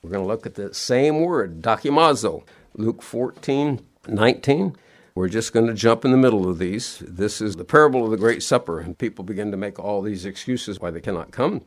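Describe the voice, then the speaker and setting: A man's voice: fairly low pitch, animated, normal speed. A middle-aged man preaching a sermon, sounding nasal and sniffly from allergies, earnest and repeating his key words in plain homespun phrasing.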